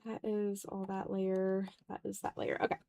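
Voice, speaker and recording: A woman's voice: medium pitch, completely flat delivery, normal speed, gravelly, warm tone, lo-fi sound. Casual woman art streamer chatting away. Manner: informal, muttering to self while working. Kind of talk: casual livestream chat while drawing.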